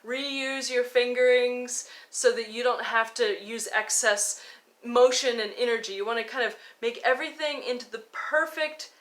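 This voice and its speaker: A woman's voice: on the high side, moderate pace, fairly bright tone. A woman giving an instructional violin lesson, coaching informally and encouragingly as she explains and counts out fingerings. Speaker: violin teacher. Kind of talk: instructional music lesson, talking and demonstrating.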